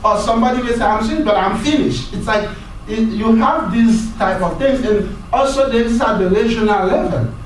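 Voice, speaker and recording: A man giving a university lecture, talking in a halting way and often repeating words as he searches for the next one. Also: extremely high pitch, a little hiss or hum. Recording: very echoey room, mic far off.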